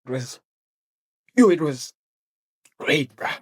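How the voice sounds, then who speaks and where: Young man, South African accent, podcast co-host, relaxed, slangy. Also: a little high, slow, slightly rough. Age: young adult. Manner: relaxed, slangy, says bro a lot. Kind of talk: casual chat.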